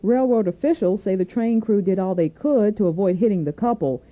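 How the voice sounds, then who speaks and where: Tinny radio woman news anchor. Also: medium pitch. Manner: formal, measured newsreading delivery. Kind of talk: scripted radio newscast. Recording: narrow tinny AM radio aircheck.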